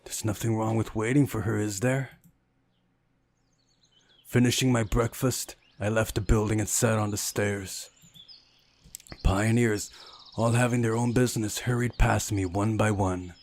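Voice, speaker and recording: A man's voice: fairly low pitch, steady tone, measured pace, long pauses, a little mellow. A man reading a visual novel aloud as a let's play host, with asides of his own.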